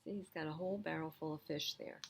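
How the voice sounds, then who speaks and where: A woman's voice: deep voice, fairly even intonation, fast talker, husky. A woman reading a picture book aloud for children at a storytime, adding conversational asides about the pictures. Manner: explains pictures and adds gentle asides to young listeners. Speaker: children's storytime reader at a library.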